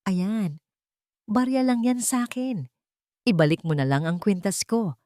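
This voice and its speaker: A woman voicing a cruel, haughty rich woman with a shrill, accusing delivery in a dramatic audio story. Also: measured pace, dark tone, no echo, clean studio sound, natural voice. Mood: scornful. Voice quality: shrill, piercing. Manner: imperious, scornful, accusing.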